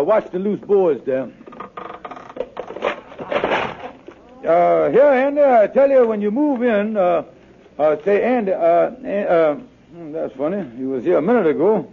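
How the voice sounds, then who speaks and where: A man's voice: sits high, sing-song, a little slow, gravelly, heavily acted. A man playing a blustering, slippery con man in a 1950s radio sitcom, folksy in dialect and full of hemming salesman's patter. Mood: bluffing. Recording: narrow, noisy vintage radio recording with clicks.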